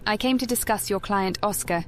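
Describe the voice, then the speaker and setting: A woman's voice: medium pitch, fairly quick, soft background music, natural voice. An actress playing a composed, direct female adventurer in a fantasy game. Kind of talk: cinematic fantasy game dialogue.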